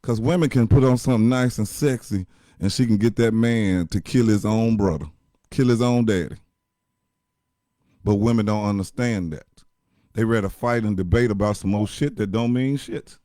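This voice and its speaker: A man with a deep voice gives blunt, profane relationship advice in casual chat. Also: moderate pace, warm tone, dry recording, studio quality.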